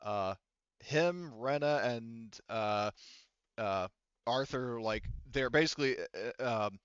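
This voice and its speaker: A man's voice: medium pitch, slow pace, a bit bright, clear, resonant tone, dry recording, lo-fi sound. A man narrating a tabletop roleplaying game as game master, casual and often profane.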